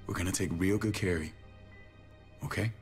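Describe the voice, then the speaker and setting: A man's voice: low-pitched, a little fast. An actor playing a hard-bitten, short-tempered man in a video game, blunt and commanding in his delivery. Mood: commanding, terse. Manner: blunt, clipped, profane, commanding. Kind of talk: naturalistic dramatic game acting. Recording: long reverb, music under the voice.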